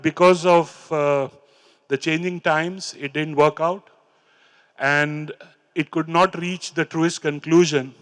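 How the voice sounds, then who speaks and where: Earnest Indian man with a smooth voice. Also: a little high. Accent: Indian English. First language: Hindi or Urdu. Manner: earnest, halting, with fillers and restarts. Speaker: speaker sharing his recovery story. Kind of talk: personal talk on stage, reciting poems. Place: large stage in a hall. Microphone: handheld microphone.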